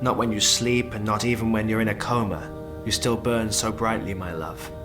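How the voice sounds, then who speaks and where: A man's voice: fairly low pitch, fairly even intonation, normal speed, natural voice. A man acting a swaggering, wry immortal rogue with ornate, theatrical phrasing in an audio drama. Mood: grieving, tender. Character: sardonic, swaggering immortal rogue, tender in love. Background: prominent music.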